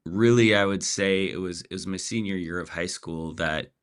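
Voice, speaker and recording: A man's voice: sits low, normal speed, clean tone. A man in his forties from Pakistan, a podcast guest talking casually with many fillers and restarts. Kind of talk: casual interview chat.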